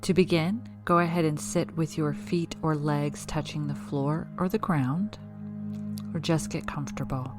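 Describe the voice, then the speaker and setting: A woman leading a guided meditation in a calm, measured, instructional way, reading scripted narration. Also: sits low. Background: background music.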